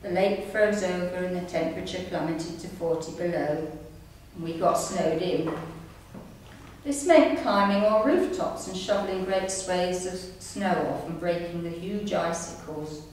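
A woman in her sixties reads her written memoir aloud to an audience in a measured storytelling manner. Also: lowish voice, a little mellow. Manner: measured storytelling, reading from pages. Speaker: amateur writer reading her own story. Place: indoor room at a community centre, seated. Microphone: distant camera microphone. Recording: echoey room, distant mic, faint noise.

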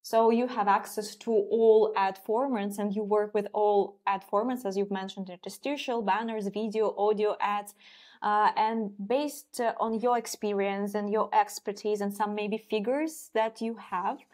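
A woman's voice: medium pitch, steady tone, measured pace, darkish tone. A woman in her twenties hosting a business podcast, asking careful questions with many hesitations. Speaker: podcast host. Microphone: wired earphones on a video call.

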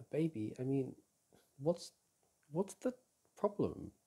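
A young man in an intimate roleplay as a boyfriend, talking softly and teasingly to his partner, often sighing and chuckling. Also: a little high, sing-song, very slow, breathy edge. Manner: soft and teasing, with frequent sighs and chuckles. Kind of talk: intimate ASMR roleplay, speaking to an unseen partner. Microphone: close microphone.

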